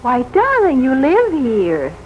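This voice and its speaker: High-voiced, anxious fussing wife. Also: female voice, wildly swooping pitch, takes it slowly, full character voice. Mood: anxious, concerned. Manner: theatrical, concerned. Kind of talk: 1940s radio drama acting. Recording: muffled, faintly noisy 1940s radio recording.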